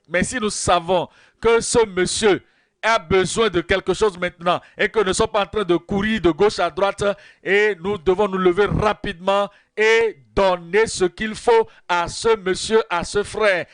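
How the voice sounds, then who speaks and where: A man preaching at a Bible study, repeating verse references and key phrases in a slow, measured teaching manner. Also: sits high, fairly bright tone, clean tone, no room sound, studio quality. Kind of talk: sermon.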